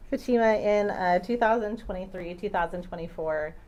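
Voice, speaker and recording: A woman attorney questioning a witness in a courtroom, patient and measured, with occasional ums. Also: mid-range voice, a little mellow, dull audio. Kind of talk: courtroom direct examination.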